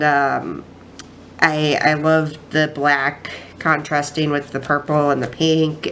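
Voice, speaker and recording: A woman's voice: sits low, slight background noise. A woman with a stuffy, nasal voice from a cold, chatting casually through a craft journal flip-through and rambling with many ums and restarts. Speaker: craft vlogger.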